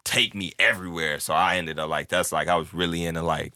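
A man's voice: deep voice, fairly even intonation, quick pace, bright, forceful tone, dry recording, clean studio sound. A young man talking in a loose, rambling way, with lots of filler, and acting out what his friends said. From born in Turkey, raised in the United States. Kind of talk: casual chat.

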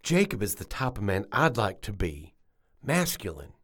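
A man's voice: lowish voice, big pitch swings, moderate pace, fairly bright tone. An actor playing an earnest, sheltered grown man in a comedic audio drama, awkward and confessional. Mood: earnest, sheepish.

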